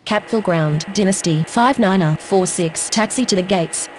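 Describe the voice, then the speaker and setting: A woman's voice: medium pitch, normal speed, low-quality recording. A woman speaking short, scripted aviation radio phraseology in an air traffic control game.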